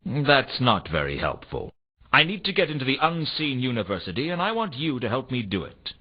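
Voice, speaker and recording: An actor playing a world-weary, sardonic private detective in a noir video game, with a wry, deadpan, pressing delivery. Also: male voice, mid-range voice, brisk, slightly rough. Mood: pressuring, sly. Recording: dull, muffled old console game audio.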